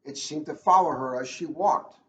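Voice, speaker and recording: A man's voice: on the high side, normal speed. A man reading a children's book aloud as a police officer, chatting and joking at his own expense in casual asides. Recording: echoey room, dull lo-fi, faint noise.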